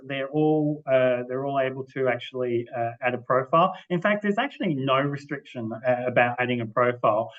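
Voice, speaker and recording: A man giving an informal explanatory presentation as a library manager, speaking haltingly and often rephrasing as he goes, over a video call. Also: medium pitch, fairly bright tone. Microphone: video-call microphone. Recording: muffled video-call audio.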